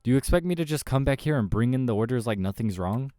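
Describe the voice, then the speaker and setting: Man acting a rattled young waiter, raised pitch. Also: expressive, moderate pace, darkish tone, no echo, clean studio sound, natural voice. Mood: exasperated. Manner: nervous, stammering when serving. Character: frightened, sarcastic young human waiter.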